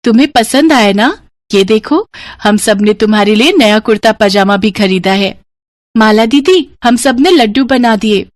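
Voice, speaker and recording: A woman acts a devoted elder village sister in a moral cartoon. The voice is high and close in a dry space, earnest and full of feeling, with a protective, pleading care. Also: moderate pace, no room sound, close to an everyday voice. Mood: cheerful, hopeful. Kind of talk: Hindi moral cartoon dubbing.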